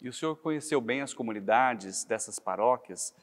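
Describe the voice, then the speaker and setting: Brazilian interviewer, friendly and formal, mid-pitched voice, long hall reverb. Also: male voice, animated, normal speed. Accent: Brazilian Portuguese. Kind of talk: interview questions.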